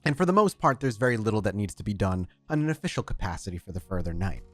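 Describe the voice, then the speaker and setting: A male game master narrating a tabletop game with a lively, rising and falling voice. He sets scenes and turns to the players with questions. Soft music runs in the background. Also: fairly low pitch, fast talker.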